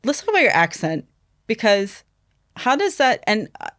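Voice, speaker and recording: A woman from America with an American accent, hosting a podcast interview with lively, chatty questions. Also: fairly high pitch, normal speed. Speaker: podcast host. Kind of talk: conversational interview.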